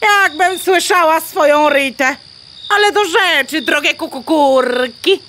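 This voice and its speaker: A woman's voice: high voice, unhurried, bright, forceful tone, a little hiss or hum. A woman playing a bossy, self-important hen diplomat in a children's audio play, lecturing pompously with clucks between words.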